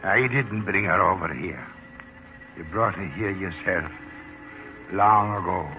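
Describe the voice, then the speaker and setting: A low-pitched Irish leprechaun from a radio play, sly and posing as a manservant. He talks in wordy, mock-formal phrases with wounded dignity. The old broadcast is tinny and narrow, with music in it. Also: slow pace. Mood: dignified, wry. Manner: wordy, mock-formal, flustered when cornered. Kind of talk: 1940s radio acting.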